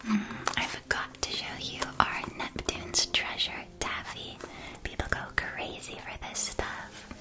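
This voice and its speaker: A woman performing an ASMR roleplay as a friendly magical candy shopkeeper, speaking in a soft, breathy whisper with gentle, unhurried sales patter. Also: medium pitch, close to an everyday voice.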